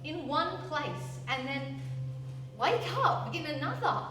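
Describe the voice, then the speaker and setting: A woman's voice: high-pitched, lively intonation, slow pace, background noise. A woman playing an adventurous, stubborn young dreamer in a radio play, speaking in a theatrical, earnest way. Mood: dreamy. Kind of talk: stage radio play acting. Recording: roomy, distant stage recording with loud music.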